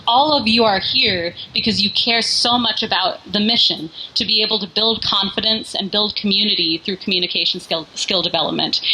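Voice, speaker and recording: A woman's voice: medium pitch, bright, forceful tone, rough recording. A woman in her forties from the Bay Area gives a casual, heartfelt welcome speech as an organization's founder, with frequent fillers and restarts. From Bay Area, California, US. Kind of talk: casual live speech to a party crowd. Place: indoor event venue.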